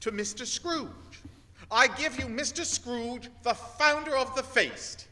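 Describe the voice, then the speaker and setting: A man's voice: extremely high pitch, takes it slowly, bright, forceful tone, heavily acted. A man playing a tender, forgiving father in a radio play, fond and earnest in his delivery. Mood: festive. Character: gentle, tender, forgiving poor family father. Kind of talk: stage-like radio play acting. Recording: echoey reverberant hall.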